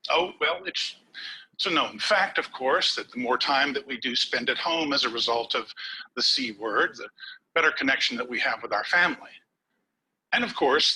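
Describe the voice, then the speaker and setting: A man giving a prepared contest speech over a webcam, with dramatic pauses and words dropped to a whisper for emphasis. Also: medium pitch, pushed and bright, husky, rough recording. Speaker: contest speaker. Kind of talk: prepared speech delivered online.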